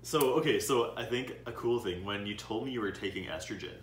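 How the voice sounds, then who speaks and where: A man's voice: on the low side, brisk, slight background noise. A young man hosting a casual chat, supportive and jokey, backing up his guest with frequent yeahs. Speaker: host. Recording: echoey room, mic at a distance, faint ambience.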